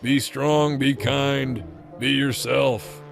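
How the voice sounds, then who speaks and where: A man voicing a kindly, solemn cartoon mentor with a gravelly voice and gentle, paternal manner. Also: mid-range voice, steady tone, drawn-out words, over loud music, exaggerated voice. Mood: solemn, caring. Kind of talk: cartoon comic dub.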